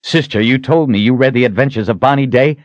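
Man acting an intense fantasy hero, raised pitch. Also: fast talker, no echo, highs cut off, lightly acted. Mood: urgent, persuading. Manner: intense, insistent. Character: urgent, determined man, hero of a fantasy tale.

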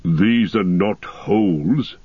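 A man's voice: on the low side, slow pace, breathy edge, no echo, muffled recording. A man voicing a proud dwarf of ancient lineage, stately and rhythmic, in a fantasy audiobook. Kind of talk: chanted verse in fantasy audiobook.

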